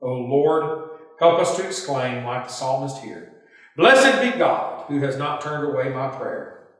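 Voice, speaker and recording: A man, a pastor, delivering a scripted devotional sermon in measured, emphatic phrases. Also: mid-range voice, expressive, fairly bright tone. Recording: very echoey hall, distant mic.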